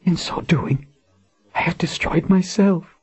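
Brief: male reader doing a desperate young queen, high, breathy and pained. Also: moderate pace, darkish tone. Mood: anguished. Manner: anguished, pleading. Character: grieving young queen, desperate then resolute. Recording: dull lo-fi audiobook recording.

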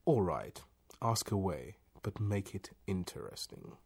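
Guy reading as a calm teasing spirit voice in a story, slow n husky, dry and mock polite. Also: low voice, full character voice. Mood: patient, instructing. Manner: measured, mock-polite, unhelpfully stern. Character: calm, wry, teasing disembodied voice.

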